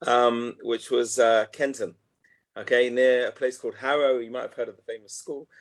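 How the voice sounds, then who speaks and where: A man's voice: mid-range voice, flat delivery, moderate pace. A man, answering interview questions in a reflective, loosely phrased way with frequent "okay" asides. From North London, England. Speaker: guest, educational tour organizer.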